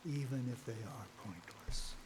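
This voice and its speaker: An actor playing a somber, philosophical man in a video game, speaking in a solemn, measured way. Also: medium pitch, expressive, natural voice. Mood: somber, resigned. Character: somber, philosophical man carrying out a grim task. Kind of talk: dramatic game dialogue. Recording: voice over clear rain noise.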